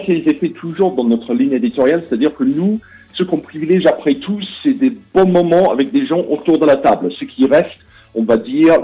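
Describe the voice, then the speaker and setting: Hesitant British man, lo-fi sound. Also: mid-range voice. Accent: British English. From Britain. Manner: hesitant, many fillers and restarts. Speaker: game publisher cofounder. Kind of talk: interview answers. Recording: dull lo-fi audio.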